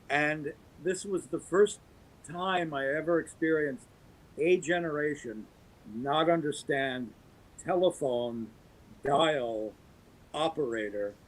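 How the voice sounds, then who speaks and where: A man's voice: fairly high pitch, rough recording. A man, a guest on a podcast, talking casually and with conviction about producing audio drama, getting onto his soapbox. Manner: opinionated, holding forth on a pet topic.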